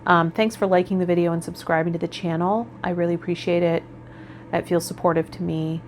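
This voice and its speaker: Low-pitched woman vlogger with background noise. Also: flat delivery, brisk. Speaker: tarot vlogger and host. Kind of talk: casual spiritual vlog talk, partly reading card meanings.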